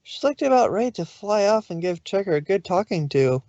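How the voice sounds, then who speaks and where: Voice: medium pitch, very animated, brisk, warm tone, dry recording. Someone narrating fanfic casually, trips on words a bit, dry n slightly lo-fi. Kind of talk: narrating fan fiction aloud. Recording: slightly dull, lo-fi sound.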